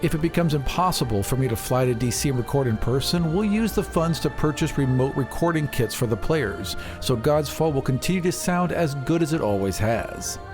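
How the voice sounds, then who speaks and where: Male game master for a fantasy tabletop podcast, mid-pitched voice, clear music underneath. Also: animated, fairly quick, hardly any pauses.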